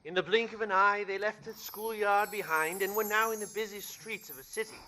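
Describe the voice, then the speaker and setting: An actor narrating a Christmas tale as an earnest storyteller in a radio play. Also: male voice, high voice, steady tone, fairly quick, sharp tone, a little hiss or hum, low-quality recording. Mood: warm storytelling. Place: theater stage.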